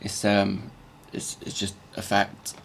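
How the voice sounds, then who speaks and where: Guy with a croaky gravelly morning voice, low and flat, stumbling and restarting as he explains his workshop craft. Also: slight background noise. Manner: halting, with many repeated words and restarts. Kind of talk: casual workshop tutorial voice-over.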